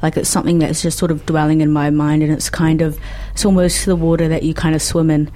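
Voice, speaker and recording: A woman in her twenties with a New Zealand accent, speaking thoughtfully and in a measured way as a podcast guest. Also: deep voice, level tone, dark tone. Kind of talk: reflective conversation.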